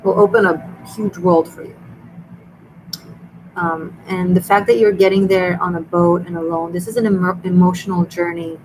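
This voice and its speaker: A woman's voice: lowish voice, steady tone, moderate pace, background noise. A woman with an Israeli Hebrew accent, hosting a live tarot and dream reading in an earnest, chatty way with many restarts. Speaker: tarot reader and host. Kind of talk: live stream reading for viewers.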